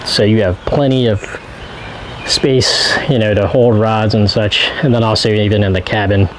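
Man, thirties, casual vlog walkthrough, relaxed and chatty, handheld camera outdoors, faint ambient noise. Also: fairly low pitch, normal speed, poor audio. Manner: relaxed, talks to viewers with fillers while pointing things out. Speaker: channel host. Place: outdoors in a driveway beside a boat on a trailer. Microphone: handheld camera carried around, earlier on a tripod.

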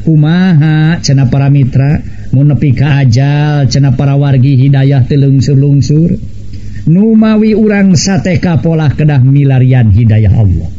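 A man's voice: medium pitch, animated, measured pace, warm tone, lo-fi sound, a little hiss or hum. A man with a Sundanese accent narrating a folk tale and addressing his listeners as a storyteller.

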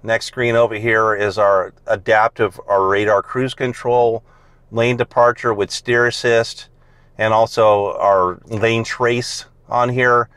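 A man's voice: lowish voice, fairly even intonation, takes it slowly, fairly bright tone, smooth, dry recording. A man, a car salesman, casually walking through a car's features on a dealer lot and explaining them step by step with plenty of fillers.

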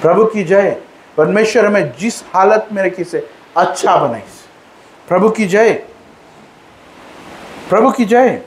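A man with a high voice preaches at an unhurried, slow pace. He exhorts through repetition and praise refrains, mixing in English phrases as he teaches and leads prayer. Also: expressive, slight background noise, low-quality recording. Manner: mixes English phrases into speech. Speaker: preacher leading a church service. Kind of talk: sermon with congregational prayer.

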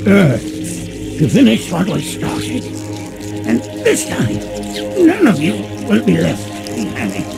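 An ancient monster of a video game, grand and menacing. The voice crawls along with a gravelly creak and huge swoops of pitch, under loud music. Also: male voice, huge pitch swings, exaggerated voice, poor audio. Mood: ominous menace. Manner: slow, ominous pronouncements. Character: monstrous ancient hive-mind creature, menacing and grandly ominous. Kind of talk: video game cutscene acting.

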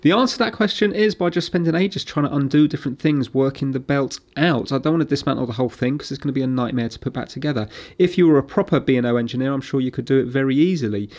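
A man's voice: medium pitch, fast, hardly any pauses, darkish tone. Middle-aged man thinking aloud. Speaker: repair video host. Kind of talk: casual hands-on commentary while working.

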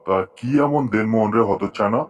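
Man voicing a low, blustering cartoon tiger uncle. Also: a little fast, dull audio, natural voice. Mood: curious, flattered. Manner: blustering, impatient. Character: boastful, gullible, marriage-crazy male tiger uncle. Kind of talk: cartoon story dialogue.

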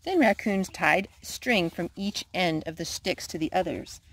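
A woman's voice: fairly low pitch, lively intonation, unhurried, a bit bright. A woman reading a children's picture book aloud at storytime. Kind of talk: read-aloud storytime narration.

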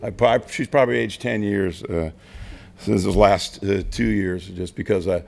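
Older guy w a hoarse raspy gravelly voice, kinda low, joking around at a podium in a big hall. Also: fairly even intonation, normal speed. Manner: folksy, joking. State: hoarse from yelling the night before. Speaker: nonprofit CEO. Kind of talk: press conference remarks. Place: large indoor hall. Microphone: podium mic.

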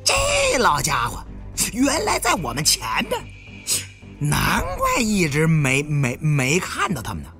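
Man acting excitable stuttering tomb raider. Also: fairly high pitch, measured pace, faint music behind, heavily acted. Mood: shocked. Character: excitable stammering male tomb-raiding companion, crude and blunt.

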